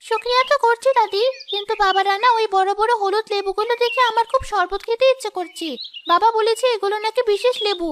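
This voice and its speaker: A compassionate young boy from a cartoon, as a woman voices him. He asks earnest questions in a very high, childlike voice. Faint noise is heard behind it. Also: quick pace, fairly bright tone.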